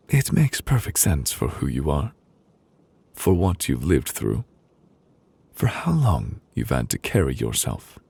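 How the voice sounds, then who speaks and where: A man playing a solemn, devoted fantasy judge in an intimate ASMR roleplay, speaking gently and deliberately in a husky voice, comforting his beloved. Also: very deep voice, no echo, full character voice. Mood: gentle, reassuring. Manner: slow, deliberate, gently reassuring with pauses. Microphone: close, dry studio microphone.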